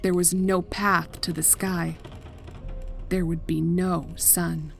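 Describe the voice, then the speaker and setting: A woman reading a scripted horror story as narrator, in a measured and deliberate dramatic style. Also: lowish voice, little pitch movement, vocal fry, soft background music. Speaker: fan fiction narrator.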